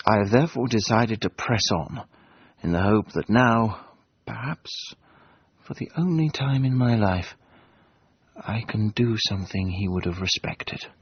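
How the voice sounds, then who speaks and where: An actor playing a pompous, grandiloquent English gentleman adventurer with an English accent, in a radio drama. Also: on the low side, animated, unhurried, husky, dull audio, full character voice. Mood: subdued, grieving. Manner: grandiloquent, declamatory.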